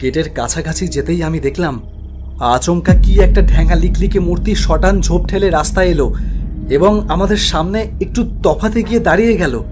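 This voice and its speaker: Male narrator, dramatic spooky storytelling, slightly high voice, soft music underneath. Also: normal speed.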